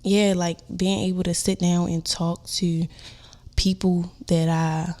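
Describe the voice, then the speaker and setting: A woman's voice: fairly low pitch, level tone, slow. A young woman, hosting her own podcast in loose, chatty talk full of "um" and "like". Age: young adult. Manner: chatty, warm, lots of fillers like "um" and "like". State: stuffy nose from a cold. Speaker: podcast host. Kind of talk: casual solo podcast chat. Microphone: on-camera podcast setup.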